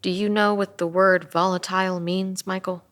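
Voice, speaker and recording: A woman playing an earnest, empathetic police detective at a drama table read, measured and sometimes hesitant. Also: fairly low pitch, little pitch movement, a bit bright, natural voice. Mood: calm, probing.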